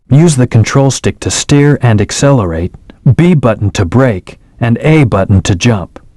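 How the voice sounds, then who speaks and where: An actor voicing an aloof male hedgehog hero in a video game, giving calm, terse commands and hints. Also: medium pitch, no echo, clean studio sound, natural voice. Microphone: studio microphone.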